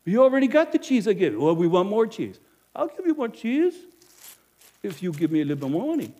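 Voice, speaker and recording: A middle-aged innkeeper who counts every coin and still feels the guilt, as a man plays him in a monologue. His voice sits high and jumps about restlessly. He talks with emphatic force, then sinks low. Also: brisk. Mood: storytelling. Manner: animated, emphatic, swings loud to hushed. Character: middle-aged male innkeeper, money-minded and guilt-ridden. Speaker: actor. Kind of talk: dramatic first-person monologue.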